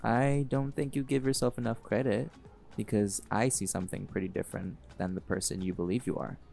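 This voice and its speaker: Man playing a laid-back jaguar shifter, young adult, low gravelly voice, teasing, audio roleplay. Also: brisk, soft background music. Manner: easygoing, teasing, relaxed drawl with laughs. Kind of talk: audio roleplay, speaking to listener.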